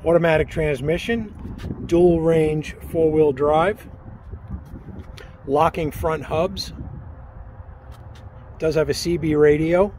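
A man's voice: fairly high pitch, fairly even intonation, takes it slowly, pauses often, darkish tone. A man presenting a vehicle for sale in a walkaround video, matter-of-fact, speaking in short, list-like phrases. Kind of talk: walkaround sales video commentary. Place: outdoors in an open parking lot. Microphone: handheld camera or phone, speaker off camera. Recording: outdoor noise, handheld camera audio.

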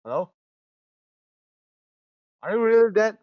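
Young male host, Russian accent, excitable and joking, slightly high voice. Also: takes it slowly, no echo, muffled recording. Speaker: channel host. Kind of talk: casual prank chat on a voice call. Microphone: phone on a voice call.

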